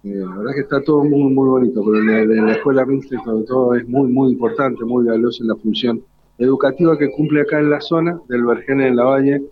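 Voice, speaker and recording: A man's voice: medium pitch, steady tone, fairly quick, narrow, tinny audio. A man with an Argentine accent presenting live on radio as a co-host, unscripted and often repeating his words.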